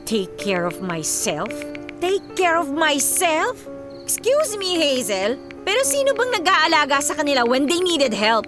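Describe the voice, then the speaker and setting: An actress playing an overworked young millennial daughter with a Filipino accent, theatrical and sarcastic in a comedic radio drama. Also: female voice, high voice, sing-song, a little slow. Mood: indignant. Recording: music behind the voice.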